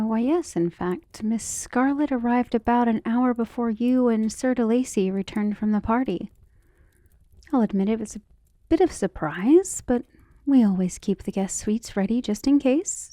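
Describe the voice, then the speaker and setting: A woman playing a devoted, outspoken servant of a noble household in an ASMR roleplay, speaking gently and deferentially in formal phrasing, often slipping into a whisper. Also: fairly high pitch, no echo, natural voice. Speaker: voice actor in an ASMR roleplay audio drama. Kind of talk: soft-spoken ASMR roleplay acting, often dropping to a whisper.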